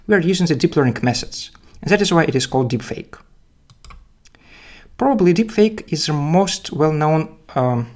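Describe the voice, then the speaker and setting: A man with a Belarusian accent giving technical explanations as a guest speaker, haltingly, often repeating words. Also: a little high. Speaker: guest speaker, data scientist. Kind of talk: technical presentation and interview answers.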